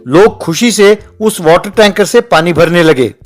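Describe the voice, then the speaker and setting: High-pitched man narrating a children's story. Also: expressive, measured pace.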